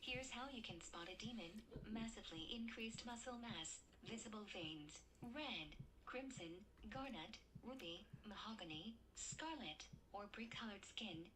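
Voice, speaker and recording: A woman playing a news anchor who reads breaking disaster news in a formal, measured way. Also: mid-range voice, animated, bright, forceful tone, a little hiss or hum, rough recording. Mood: urgent, formal. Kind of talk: scripted breaking-news narration.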